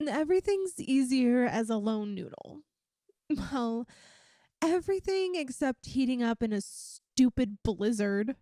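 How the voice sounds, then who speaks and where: A woman's voice: a little high, expressive, a little slow, warm tone, no room sound, studio quality. A woman voicing a playful, affectionate young lamia best friend in an intimate, chatty ASMR roleplay. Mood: playful, needy. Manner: teasing, chatty, giggly. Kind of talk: ASMR roleplay, one-sided intimate conversation.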